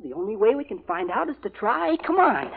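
Woman playing an eager American radio boy, animated. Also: mid-range voice, a little fast, vocal fry, husky, full character voice. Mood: earnest. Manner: earnest, encouraging. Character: eager, cheerful boy teaching horse riding. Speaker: actor in a radio comedy-mystery. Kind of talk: old-time American radio acting. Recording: muffled, noisy vintage radio recording.

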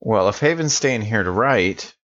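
A man's voice: on the low side, animated, moderate pace, a bit bright, no echo. A man playing a character casually in a tabletop roleplay podcast, on a slightly dull recording. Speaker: player in an actual-play audio drama podcast.

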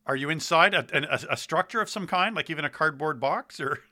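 A man's voice: a little high, fairly quick, bright, forceful tone. A man hosting a podcast, interviewing and presenting in a conversational style.

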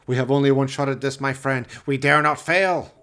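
A man playing an evil, excitable mad scientist in a radio play, pompous, theatrical and fawning toward his master. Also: medium pitch, moderate pace, voice pushed up. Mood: commanding, grave. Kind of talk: radio play villain acting.

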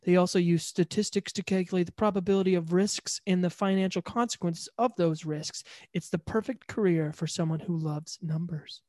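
A man co-hosting a casual podcast, playful and teasing, quick to react and laugh. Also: high-pitched, steady tone, darkish tone, no echo, clean studio sound. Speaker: podcast co-host. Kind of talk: casual chat.

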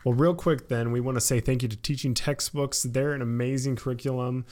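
A man co-hosting a podcast, speaking casually and in a low-key, laid-back way. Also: steady tone, brisk, darkish tone. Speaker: podcast co-host reading sponsor message. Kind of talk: casual, unscripted-sounding host talk.

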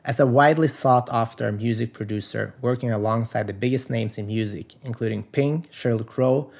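A man's voice: mid-range voice, normal speed, creaky, a little mellow. A man from Sweden hosting a podcast interview, reading a guest introduction and asking questions. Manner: reads guest introduction, brief affirmations. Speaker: podcast host.

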